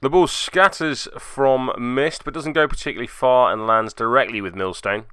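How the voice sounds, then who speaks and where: A man's voice: mid-range voice, bright, forceful tone, clean tone, dry recording, clean studio sound. A man giving wry, self-deprecating voice-over commentary on a tabletop game, with joking asides, as its host.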